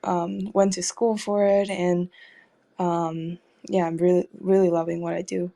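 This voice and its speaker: A young woman from Taiwan, an artist guest, talking in a relaxed way with hesitant fillers and easy laughs. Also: on the low side, a little slow, gravelly. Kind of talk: casual interview chat.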